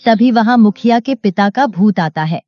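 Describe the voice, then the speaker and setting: A woman narrates a scripted story at a medium pitch. She works as the storyteller between scenes. The recording is slightly dull, with music underneath. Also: moderate pace, no room sound. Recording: dull lo-fi audio.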